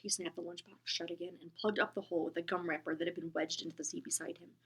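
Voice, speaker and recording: A woman reading a children's book aloud, gentle and expressive, often dropping into a whisper. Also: on the low side, fast talker, slightly rough. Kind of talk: storybook read-aloud.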